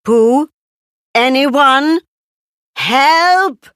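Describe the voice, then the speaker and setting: A woman's voice: high-pitched, expressive, extremely slow, fairly bright tone, no room sound, clean studio sound, voice pushed up, full character voice. A woman voicing a frowny, anxious, serious grown-up man in a children's storybook, with an exasperated manner. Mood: calling, desperate. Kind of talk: children's storybook read-aloud.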